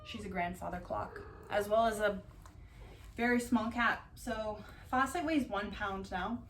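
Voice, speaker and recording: A woman doing a casual ASMR-style storytime, reading aloud and drifting into dry, deadpan asides. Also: mid-range voice, a little slow. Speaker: cat vlogger reading bedtime picture books aloud. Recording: distant mic, roomy background noise.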